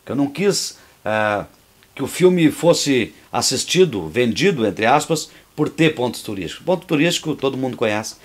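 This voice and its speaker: A man's voice: medium pitch, big pitch swings, moderate pace. A man, a filmmaker and actor, giving outspoken and opinionated commentary on how his film was made, talking freely with restarts. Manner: restarts and repeats words while talking freely. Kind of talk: commentary over a making-of.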